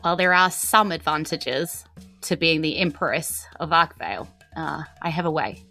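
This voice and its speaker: A New Zealand woman playing an earnest, nervous but determined teenage empress in a tabletop game, speaking haltingly. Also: low-pitched, gravelly, sharp tone, faint music behind, heavily acted. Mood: guarded, candid.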